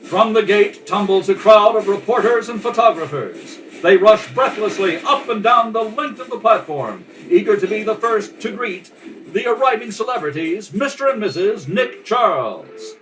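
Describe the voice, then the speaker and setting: Man putting on an excited old-time radio narrator, high-pitched. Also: normal speed, slight background noise. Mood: urgent. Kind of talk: early radio drama narration. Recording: home kitchen, distant mic.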